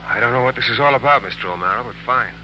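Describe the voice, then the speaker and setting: An actor playing a reserved, plainspoken, wary husband in a 1940s radio drama. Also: male voice, mid-range voice, racing, bright, forceful tone, muffled recording. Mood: wary, calm. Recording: humming, noisy 1940s radio recording.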